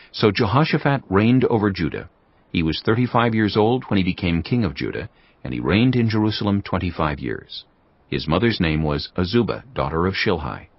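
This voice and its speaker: Deep-voiced man narrating scripture. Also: breathy edge, dry recording. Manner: measured, solemn reading. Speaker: narrator of an audio Bible. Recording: dull lo-fi audio with faint music.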